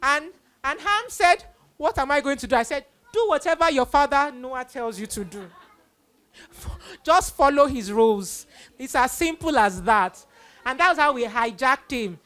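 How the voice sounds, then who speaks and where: A woman's voice: sits high, animated, measured pace, fairly bright tone. A woman with a Nigerian accent hosting a live children's event, telling stories in an exclamatory way with acted-out quotes. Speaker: children's Bible story host.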